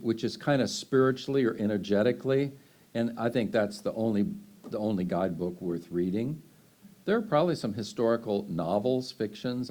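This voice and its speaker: An older man with a fairly low voice answers questions from a live audience. He talks loosely and conversationally, circling back with fillers and restarts. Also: mellow. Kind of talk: unscripted Q&A answers.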